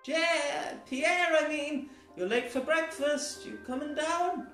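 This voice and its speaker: A man voicing a kind, warm, playful girl in a storybook. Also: very high-pitched, slow, reverberant, faint music behind, voice pushed up, heavily acted. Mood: friendly. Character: kind, warm girl calling from another room.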